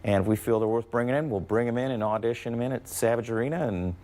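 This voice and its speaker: Fast-talking American man being interviewed. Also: mid-range voice, warm tone. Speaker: university athletics representative, interview guest. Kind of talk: conversational interview answers.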